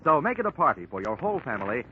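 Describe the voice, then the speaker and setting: A man's voice: mid-range voice, very animated, rapid-fire. A male radio announcer giving an upbeat station promotion in a 1940s broadcast. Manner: bright, upbeat promotional delivery. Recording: muffled old radio broadcast, faint hiss.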